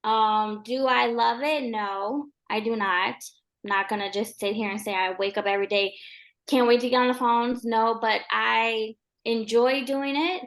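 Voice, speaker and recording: A woman real estate agent speaking as a guest in a casual interview, with a soft voice and a relaxed, rambling way of talking full of ums and likes. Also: on the high side, a little slow.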